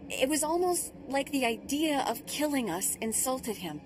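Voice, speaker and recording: A woman's voice: high-pitched, lively intonation, moderate pace, soft background music. A woman playing a compassionate, earnest research scientist in a video game, articulate and explanatory. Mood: somber. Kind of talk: video game cutscene acting.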